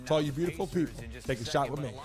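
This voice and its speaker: A high-voiced man hosts a sports livestream with loud, excitable energy. He shouts out viewers and stretches his catchphrases, with music and game sound behind him. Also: racing. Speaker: livestream sports show host. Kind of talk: hyped livestream chat, calling out viewers and asking for subscribers and likes.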